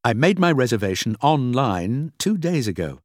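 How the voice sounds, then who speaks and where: A man's voice: mid-range voice, big pitch swings, normal speed, no room sound. Man as a storybook female reporter, cool, polite, composed, varied intonation, close dry sound. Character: calm, self-possessed young woman reporter.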